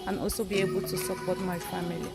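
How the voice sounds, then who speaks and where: A woman from Cameroon giving a brisk, bright testimonial. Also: on the low side, little pitch movement, gravelly. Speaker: scrum master and business owner. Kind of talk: promotional testimonial. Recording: loud music behind.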